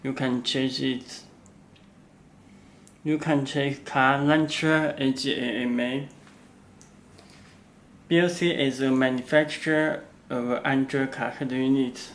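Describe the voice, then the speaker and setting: A man who is not a native English speaker, giving an unscripted product walkthrough in halting, simple phrases with frequent pauses. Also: medium pitch, fairly even intonation. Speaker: product demonstrator. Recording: faint background noise.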